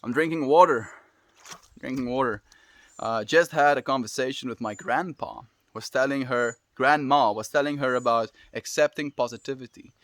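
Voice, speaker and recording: A relaxed South African man chatting casually on his live stream, musing aloud and answering viewer comments with easy little laughs. His voice sits at an ordinary middle pitch. It is recorded close and dry, with almost no room sound. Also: normal speed, no echo. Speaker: livestream host.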